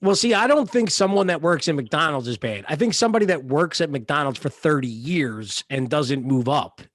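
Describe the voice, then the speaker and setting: Male podcast host, fairly high animated voice, probing and crudely jokey in casual banter. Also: normal speed, no room sound.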